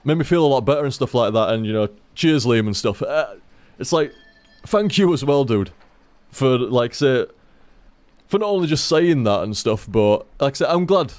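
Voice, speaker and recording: A man's voice: mid-range voice, animated, moderate pace, a little mellow, no room sound. A man streaming, chatting casually as he reads out viewer tips, rambling, repeating himself and swearing freely.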